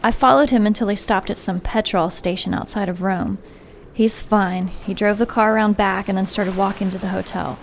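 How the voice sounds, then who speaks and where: Gravelly female acolyte, played by a woman, matter-of-fact, slight noise behind. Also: medium pitch, fairly even intonation, normal speed, lightly acted. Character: demon's loyal female acolyte.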